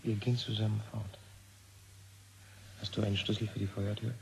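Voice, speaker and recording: Intense idealist scientist guy, lowish voice, arguing, old radio play, noisy tape. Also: little pitch movement, moderate pace, vocal fry, darkish tone. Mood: subdued, persuasive. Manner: intense, argumentative. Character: defiant male physicist, urgent, pleading, idealistic. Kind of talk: 1970s German radio drama acting.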